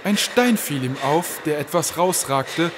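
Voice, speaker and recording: A man's voice: fairly high pitch, animated, a little slow, background noise. A man narrating an audio drama as a scripted, suspenseful story in a portentous manner. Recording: loud music under the voice.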